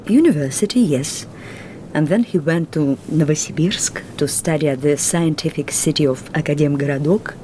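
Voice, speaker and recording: An actress voices a reserved young Russian woman with a Russian accent who speaks in careful, formal phrases. Her voice is low and mellow. Faint rumbling noise sits under the film soundtrack. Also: normal speed, natural voice. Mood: earnest. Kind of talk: film drama dialogue.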